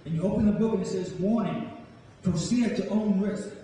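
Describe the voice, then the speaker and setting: A man's voice: high-pitched, brisk, mellow. A middle-aged man, a poet performing and talking to an audience from a stage. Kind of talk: live spoken-word performance with informal remarks to an audience. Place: campus event hall, live audience. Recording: echoey hall, distant mic, faint noise.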